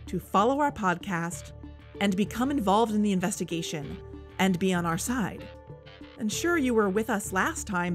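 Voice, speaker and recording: A woman's voice: medium pitch, natural voice. An actress as an earnest woman investigative podcaster, with a mid-range voice over faint music. Her scripted narration is serious and conversational. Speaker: host. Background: background music.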